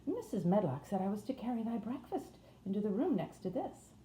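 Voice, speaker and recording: A woman's voice: medium pitch, very animated, fairly quick, warm tone, pitched above the performer's own voice, lightly acted. A woman voicing a blunt, kindly young housemaid with a Yorkshire accent in a storybook reading. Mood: brisk. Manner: plain-spoken, dialect thee and thou. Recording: roomy echo, faint noise.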